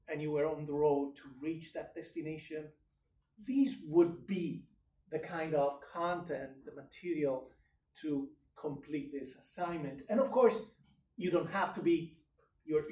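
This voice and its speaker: A middle-aged man from Italy with an Italian accent, lecturing to students in a deliberate, halting way with emphatic stresses and check-in questions. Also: a little high, darkish tone, a little hiss or hum. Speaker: university lecturer. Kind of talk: lecture explaining course assignments. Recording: echoey room, distant microphone.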